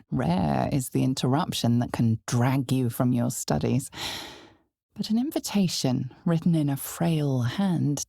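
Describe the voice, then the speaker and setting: A woman playing an ominous, knowing fantasy game narrator, with measured, theatrical delivery and a breathy, husky voice that often drops toward a whisper. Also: rumbling low, dark tone, dry recording, clean studio sound, exaggerated voice. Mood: measured, foreboding. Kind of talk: dramatic second-person fantasy narration.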